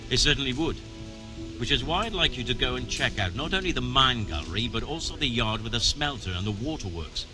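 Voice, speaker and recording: An actor playing a stern, middle-aged medieval mining chief in a video game, brusque and commanding, with formal wording. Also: male voice, mid-range voice, animated, fairly quick, lightly acted. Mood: businesslike, cautioning. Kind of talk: scripted video game dialogue. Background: music.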